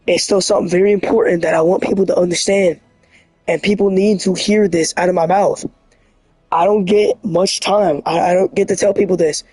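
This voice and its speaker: Hoarse guy streaming, high voice, super dry sound, rambling all worked up. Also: brisk, no echo, soft background music, low-quality recording. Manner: rambling, earnest and excitable. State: hoarse, scratchy voice. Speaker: livestreamer. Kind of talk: casual livestream monologue.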